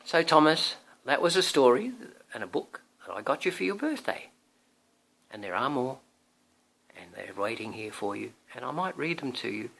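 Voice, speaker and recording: A man reading a picture book to his young son, expressive, with coaxing asides to the child. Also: fairly high pitch, creaky, breathy edge, low-quality recording. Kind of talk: animated storybook read-aloud.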